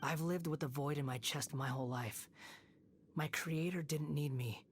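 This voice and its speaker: Woman as a low-voiced, brooding young male puppet. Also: a little hiss or hum, lightly acted. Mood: solemn, resolute. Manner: solemn, measured, earnest. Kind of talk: dramatic video game voice acting.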